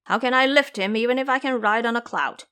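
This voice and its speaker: A woman voicing a blunt, complaining male pig-man warrior monk in an audio drama, loud in manner. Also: a little high, fairly quick, clean tone, voice pushed up. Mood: arguing. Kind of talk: audio drama character acting.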